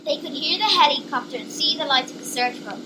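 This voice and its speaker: A woman's voice: high voice, brisk. A woman reading a scripted story as narrator. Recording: noisy amateur home recording.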